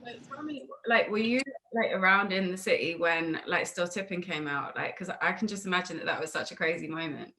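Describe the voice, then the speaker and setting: A woman's voice: on the low side, brisk, hardly any pauses, pushed and bright, low-quality recording. A woman hosting a casual, conversational interview over a video call. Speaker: interviewer, host.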